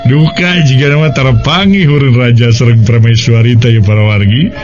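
A man's voice: mid-range voice, steady tone, moderate pace, darkish tone. A man with a Sundanese accent narrating a radio folktale as storyteller, with chatty asides. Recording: dull lo-fi, music behind. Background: music.

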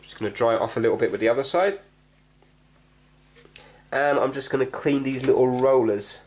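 A man talks casually through a repair as he works, explaining each step and thinking aloud. His voice sits at a middling pitch, recorded indoors at a workbench with noticeable background noise and clicks. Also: fairly quick, a little mellow. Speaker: host of a repair video. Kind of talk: casual hands-on narration while working.

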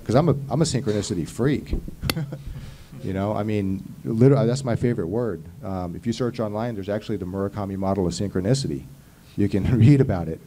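A man in his thirties talks at a conference panel, his voice deep and mellow. He rambles earnestly with plenty of fillers and restarts, into a handheld mic with a faint hum behind. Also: fast talker. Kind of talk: casual panel talk. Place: conference hall panel table. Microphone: handheld mic on stand.

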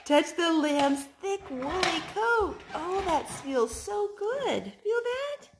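A woman in her thirties reading picture books aloud to young children at home, in an animated, child-directed way with drawn-out words. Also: extremely high pitch. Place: living room at home, indoors. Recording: home recording with background noise.

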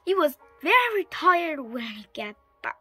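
A woman reads a picture book aloud in a high, very lively voice. She takes it slowly, with music in the background. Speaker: storybook reader.

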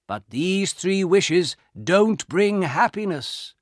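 A man voicing a grave old soldier in a storybook, warning others slowly and solemnly. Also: high-pitched, animated, dry recording, clean studio sound, voice pushed up.

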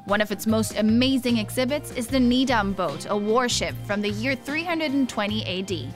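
Young woman, travel presenter, measured documentary narration, fairly high voice, music underneath. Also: animated.

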